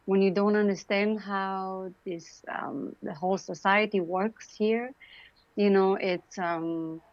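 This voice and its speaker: A woman's voice: medium pitch, dry recording. Romanian-accented woman, muffled dry call. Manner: warm, chatty, laughs often, many fillers. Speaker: guest. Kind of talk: casual interview talk.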